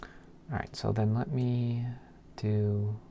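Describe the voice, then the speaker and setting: Male programmer streamer, slow and creaky delivery, thinking aloud in halting mutters, with typing in the background. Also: lowish voice, level tone, warm tone. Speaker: programmer live-streaming game development. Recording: keyboard clicks and background noise. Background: computer keyboard typing and clicking.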